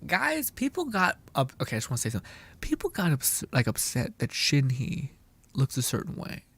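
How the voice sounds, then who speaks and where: A young male streamer at an average pitch, rambling excitedly over his game. He swears freely and stumbles through fillers as he reads and answers chat. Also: fairly quick, vocal fry, breathy edge. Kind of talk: casual gaming stream commentary.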